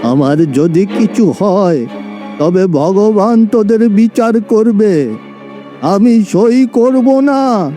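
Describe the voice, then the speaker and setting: Man playing an anguished, slow old villager. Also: high voice, animated, voice pushed up, full character voice. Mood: anguished, defiant. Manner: drawn-out, anguished. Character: helpless, defiant poor old villager. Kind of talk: cartoon story dialogue. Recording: loud music behind the voice.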